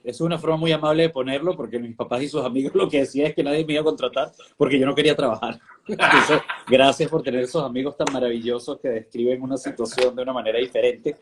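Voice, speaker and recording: A man in his thirties from Venezuela, answering interview questions in a relaxed, thoughtful way with frequent fillers and restarts. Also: mid-range voice, quick pace. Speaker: guest, winery director.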